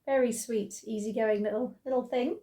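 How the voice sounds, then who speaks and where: A middle-aged woman with a British accent, her voice croaky as she recovers from laryngitis. She chats in a friendly, excited way with plenty of ums, pitched a little high for a woman. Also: normal speed. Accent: British English. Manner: chatty, excited, many ums. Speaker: sewing channel host. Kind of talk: casual vlog chat.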